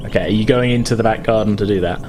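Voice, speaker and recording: A man narrates a tabletop game as game master. He explains things conversationally, often qualifying what he says. Music plays clearly behind him. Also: lowish voice, very fast.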